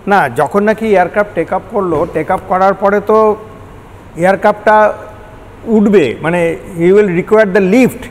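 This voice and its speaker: A man's voice: high voice, a little slow, long pauses. An older man with a Kolkata Bengali accent, explaining step by step as an expert interview guest and mixing in English technical terms. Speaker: expert guest, former air traffic control manager. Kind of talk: explanatory news interview answers. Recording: echoey hall with background noise.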